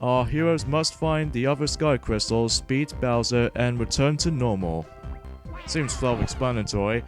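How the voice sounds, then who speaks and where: A man's voice: mid-range voice, a little slow. A man hosting a gaming let's play, talking in a wordy, rambling way and stumbling over words as he comments and reads along. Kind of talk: gameplay commentary and read-aloud narration. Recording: music playing behind the voice. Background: video game music.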